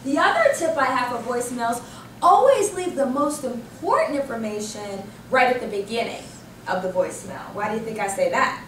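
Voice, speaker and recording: An American woman presents a workshop in a noticeably high voice. She is animated and emphatic, tossing questions to the group. The sound is echoey and picked up from a distance, with faint noise behind her. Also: fairly quick. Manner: animated, emphatic, asks and answers own questions. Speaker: corporate trainer. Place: indoor training room. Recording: roomy, distant microphone with background noise.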